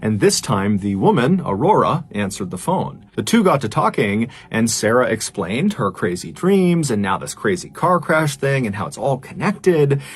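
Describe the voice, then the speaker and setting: Guy telling a creepy true story, chatty and dramatic, really animated pitch, emphatic. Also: moderate pace, hardly any pauses, breathy edge. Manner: conversational, emphatic, suspenseful delivery. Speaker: storyteller host.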